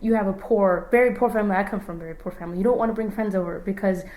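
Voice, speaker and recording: A woman interviewer who talks rapidly and chats freely, often chiming in with her own remarks. Her voice sits at a middling pitch. The recording has a roomy echo around her. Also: mellow. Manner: chatty, quick to laugh and chime in. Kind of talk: oral history interview.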